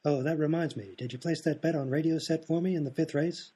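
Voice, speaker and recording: A man's voice: a little high, steady tone, fast, warm tone, breathy edge. A man playing an absent-minded, oblivious hospital doctor who rambles matter-of-factly in an absurd radio comedy sketch. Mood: absent-minded, breezy. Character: daft, absent-minded male hospital doctor, cheerfully off-topic.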